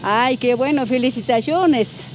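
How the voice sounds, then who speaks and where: A woman's voice: high-pitched, measured pace. A woman shopkeeper giving affectionate, motivational advice and heaping endearments on a visitor. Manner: affectionate, motherly, full of endearments. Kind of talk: casual motivational chat. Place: outdoors at a shop in a mountain mining town.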